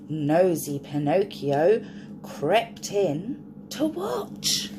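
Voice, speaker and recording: A woman's voice: mid-range voice, noisy background. A woman reading a picture book aloud to young children in a sing-song storytime voice, often stopping to ask the listener questions.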